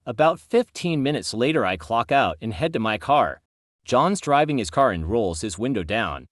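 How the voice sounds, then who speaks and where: Animated male storyteller, dry close sound. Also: mid-range voice, normal speed, no room sound. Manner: acts out quoted dialogue. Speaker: channel host narrator reading stories. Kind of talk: scripted story narration.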